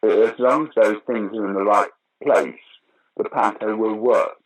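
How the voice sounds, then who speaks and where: A man's voice: fairly low pitch, a little slow, low-quality recording. An older man, answering interview questions conversationally in a wry, opinionated, emphatic way. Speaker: interview guest, actor, writer and director of pantomime.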